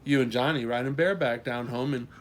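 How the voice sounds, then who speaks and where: A man voicing a kind big brother who teases gently and reassures, in a storybook reading. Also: medium pitch, quick pace, lightly acted. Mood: apologetic, brisk. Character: kind, teasing big brother to two younger children.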